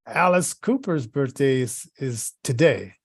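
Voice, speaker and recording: Halting male talk show host, close dry sound. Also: medium pitch, animated, slightly rough, no echo. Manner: halting, repeats words and phrases. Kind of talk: casual talk show chat.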